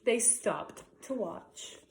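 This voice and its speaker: Expressive woman teacher reading aloud to children. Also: mid-range voice, vocal fry. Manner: expressive reading with slow emphasis and guiding questions. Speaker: elementary school teacher. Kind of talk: picture book read-aloud for children.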